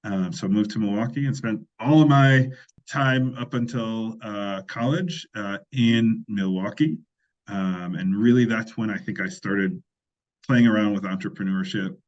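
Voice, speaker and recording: A man's voice: on the low side, a little slow, a little mellow, muffled recording. A man in his forties from Liberia, a podcast guest telling his life story in a reflective, self-deprecating way, often restarting his sentences. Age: 40s. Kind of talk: casual interview conversation.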